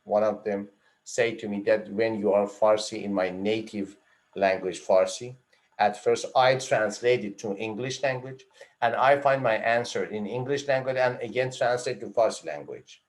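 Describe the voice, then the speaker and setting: A man giving an online psychology lecture, repeating and rephrasing his points and listing items one after another, with emphatic rises and drops in pitch. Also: moderate pace. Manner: repeats and rephrases phrases, lists items in runs. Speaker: psychology instructor.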